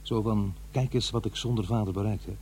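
Man playing a mellow, musing middle-aged father. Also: lowish voice, fairly even intonation, fairly quick, a little hiss or hum, natural voice. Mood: musing, wry. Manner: musing, hesitant, talking to himself. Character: middle-aged divorced father, reflective, wry, recounting his memories. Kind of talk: radio drama monologue, conversational and introspective.

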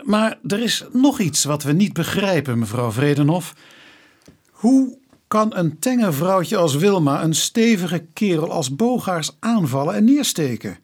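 An actor playing an earnest police inspector in a Dutch radio drama, formal and careful, polite but pressing. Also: male voice, sits high, sing-song, unhurried, darkish tone, full character voice. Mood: polite, probing.

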